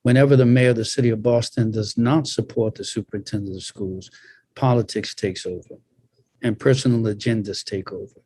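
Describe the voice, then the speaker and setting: A man who is a pastor on a discussion panel, speaking in a measured way with emphatic repetition and pauses. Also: medium pitch, mellow, dry recording. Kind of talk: panel discussion, deliberate remarks.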